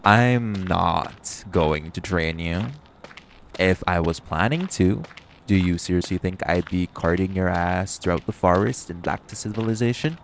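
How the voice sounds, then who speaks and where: Man playing a gravelly, low-voiced smug vampire. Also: a little slow, slight background noise. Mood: irritated, sardonic. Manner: smug, sardonic, flirty, profane banter. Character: cocky, teasing, hungry male vampire carrying his hunter rival. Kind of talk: audio roleplay acting, addressed to the listener.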